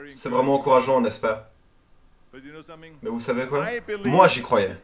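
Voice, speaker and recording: A man's voice: medium pitch, fairly even intonation, a little slow, crowd noise. A man reading a first-person motivational speech as a dubbed voice-over, in an earnest and emphatic way. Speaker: voice-over translator.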